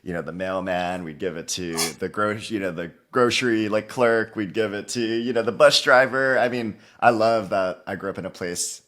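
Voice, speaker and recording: A man's voice: lowish voice, steady tone, normal speed, hardly any pauses, a bit bright. A man from Hawaii, in his thirties, chatting as a guest in a podcast interview, upbeat and full of "you know" and "like". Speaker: guest author. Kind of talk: casual interview conversation.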